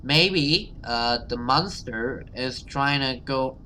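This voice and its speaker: Man, casual game streamer, bright forceful voice, halting and repetitive, slightly noisy recording. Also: medium pitch. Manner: halting, repeats himself, exclaims and swears. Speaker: gaming video host. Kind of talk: casual let's play commentary, reading game text aloud.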